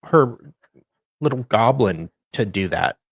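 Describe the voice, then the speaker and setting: A co-host mixing dramatic reading of documents with casual chat in a deliberate, wry way. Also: medium pitch, lively intonation, slightly rough, no room sound.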